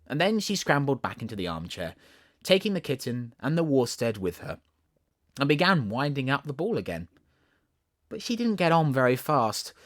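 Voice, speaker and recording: A man with a Southern accent reading a storybook as an audiobook narrator, in an expressive, theatrical way. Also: mid-range voice, no echo, clean studio sound.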